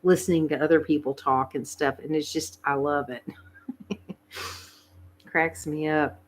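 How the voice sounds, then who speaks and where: Southern US woman, middle-aged, slightly low voice; relaxed, giggly livestream chatter. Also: a little slow. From Southern United States. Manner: chatty, laughs often, soft-spoken. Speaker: ASMR channel host. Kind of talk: casual livestream chat.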